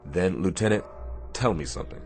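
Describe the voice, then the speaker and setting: A man playing a commanding Navy destroyer captain in a radio drama, measured and dryly wry. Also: low voice, soft background music. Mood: grave.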